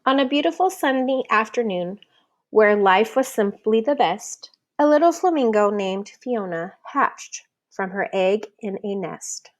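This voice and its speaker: A woman reading a children's picture book aloud as an expressive, deliberate storyteller who leans on the rhymes. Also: mid-range voice. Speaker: storybook reader.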